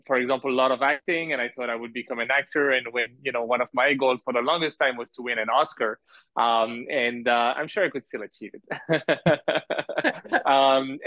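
A man's voice: medium pitch, no room sound. Man from France, rambling, dull dry audio. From grew up in France. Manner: halting, rambling with asides. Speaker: podcast guest, business owner. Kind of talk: casual interview storytelling. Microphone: remote call.

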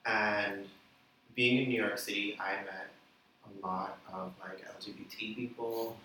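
A young man from the Bahamas, chatting as a guest in an interview, full of fillers and quick laughs. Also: fairly low pitch, flat delivery, sharp tone. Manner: chatty, laughing, many "like" and "you know" fillers. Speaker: musician guest. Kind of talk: casual interview chat. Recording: echoey room, distant mic, light noise.

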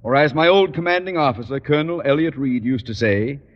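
An actor playing a pompous, long-winded male high school principal in a 1950s radio sitcom. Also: brisk, natural voice. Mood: blustering. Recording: old radio broadcast, muffled with reverb.

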